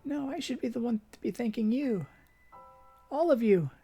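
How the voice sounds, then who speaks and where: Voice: lively intonation, moderate pace, warm tone, breathy edge, soft background music. A reader voicing a dying young woman who is delirious and pleads in a childlike, tearful voice. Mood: tender joyful. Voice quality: high, tearful, calling out. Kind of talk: audiobook character voice.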